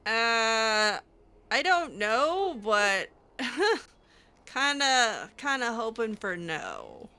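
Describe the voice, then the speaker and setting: A woman's voice: fairly high pitch, sing-song, drawn-out words, pushed and bright. A woman playing through a video game in character, chatting casually in a hesitant, wry way. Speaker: gameplay roleplayer voicing her character.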